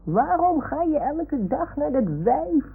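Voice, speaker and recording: A woman playing a small woolly toy dog in an old radio play, excitable and confiding, with childlike, theatrical earnestness. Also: sits high, slow, gravelly, heavily acted. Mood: dramatic lament. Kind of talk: 1960s-70s radio play acting. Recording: tinny, noisy old radio recording.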